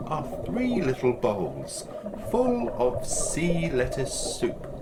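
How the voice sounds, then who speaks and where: A storyteller narrating a children's tale in an expressive, drawn-out way, with music behind. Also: mid-range voice, heavy background noise. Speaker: storyteller, puppet show presenter. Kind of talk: slow children's story narration with character lines. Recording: loud music under the voice.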